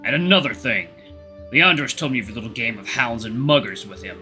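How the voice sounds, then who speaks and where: A stern, scolding older king and father, acted out by a male narrator. He speaks formally and reproachfully in a forceful, very bright voice, with storybook delivery over music. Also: mid-range voice, lively intonation, brisk. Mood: angry scolding. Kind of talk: storybook character voice.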